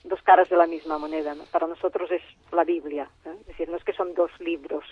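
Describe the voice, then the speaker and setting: A woman's voice: lowish voice, level tone, fairly quick, husky, no room sound. A woman guest who works as a Bible translator, speaking by phone in a radio interview and explaining her points in a thoughtful way that often repeats and trails off. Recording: tinny phone line.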